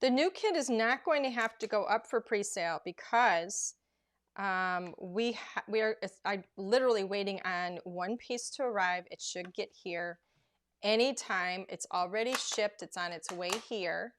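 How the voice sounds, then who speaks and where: Woman, craft show host, hoarse from losing her voice, chatty, rambling, filler words. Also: medium pitch, a little slow, fairly bright tone. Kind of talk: live crafting demonstration.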